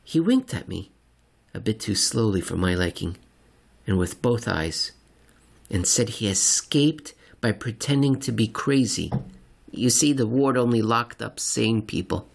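A man reading a scripted comic science-fiction story in the first person, with a dry, deadpan, wordy delivery. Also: on the low side, a little slow, gravelly. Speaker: narrator.

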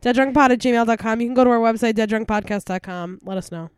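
A woman's voice: on the high side, quick pace, clean tone, dry recording, studio quality. A young woman co-hosting a podcast, playful and often laughing in casual chat. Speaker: podcast co-host.

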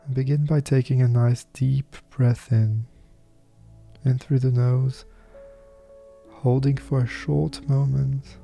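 A man with a very warm, relaxed, dark timbre guides a meditation in a mid-range voice. He is soft-spoken and soothing, delivering affirmations with unhurried pauses. Faint music plays behind him. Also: medium pitch, gravelly.

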